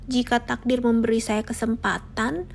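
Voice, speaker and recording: A woman's voice: fairly high pitch, little pitch movement, moderate pace, gravelly, slight background noise. A woman voicing a small, timid, polite mouse in a children's storybook tale. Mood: pleading. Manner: frightened, polite, pleading.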